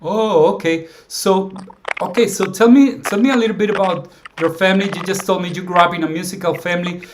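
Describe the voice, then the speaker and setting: A man's voice: high-pitched, noisy background. A man hosting a casual interview, often restarting and repeating words as he frames his questions. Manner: halting, repeats words while framing questions. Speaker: interview host.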